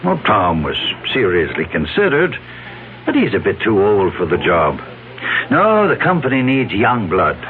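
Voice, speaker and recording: An actor playing a genial, commanding older company president in a radio drama, fatherly and emphatic, with deliberate hesitations. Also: fairly low pitch, wildly swooping pitch. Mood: proud, persuasive. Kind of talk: 1970s radio drama acting. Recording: tinny old radio recording, crowd behind.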